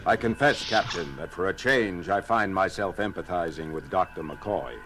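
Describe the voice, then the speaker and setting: An actor playing a logical, unflappable alien science officer, speaking formally and precisely in an audio drama. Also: male voice, low voice, normal speed, noisy background, close to an everyday voice. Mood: measured, reserved. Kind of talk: dramatic audio theater acting. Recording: music under the voice.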